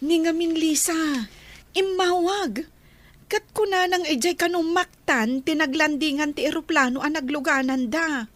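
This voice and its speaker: An excitable, gossipy Ilocano-accented friend, acted by a woman, with an extremely high-pitched voice. The delivery is amateur audio drama. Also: a little slow. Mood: concerned.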